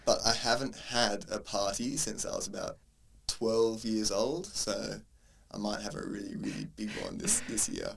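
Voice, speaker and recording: A low-voiced Australian man in his twenties with a lot of vocal fry in his voice. He speaks in a friendly, measured way, trading questions on a casual podcast. His tone stays calm and conversational. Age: 20s. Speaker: podcast co-host, university tutor. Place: university room.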